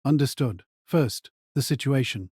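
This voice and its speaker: A man's voice: medium pitch, expressive, measured pace, a little mellow, slightly rough, no room sound, studio quality. A man playing a cold, calculating mage mercenary in an audio drama, giving terse, controlled orders. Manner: terse, clipped, controlled commands.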